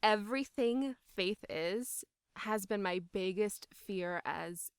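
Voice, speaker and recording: A woman's voice: mid-range voice, slow pace, clear, resonant tone, dry recording, clean studio sound. A woman speaking as an interviewed voice actor, in casual, enthusiastic and emphatic conversation.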